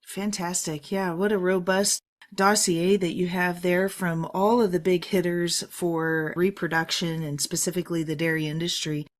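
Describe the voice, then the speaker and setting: A woman with a voice on the lower side hosts a podcast. She moves between a prepared, presentational read and interview questions addressed to her guest. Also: little pitch movement, moderate pace. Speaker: podcast host. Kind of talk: scripted introduction and interview questions.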